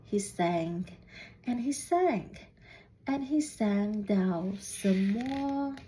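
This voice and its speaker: A woman reading a children's storybook aloud in deliberate, halting phrases, putting questions to young listeners. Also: medium pitch, lively intonation, warm tone, slight background noise, low-quality recording. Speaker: student reading a picture book aloud.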